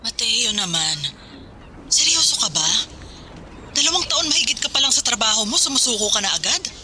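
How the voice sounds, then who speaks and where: A woman's voice: a little high, very animated, brisk. An actress playing a stern, practical Filipino seaman's wife in a radio drama, firm and exasperated with her husband. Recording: loud music under the voice.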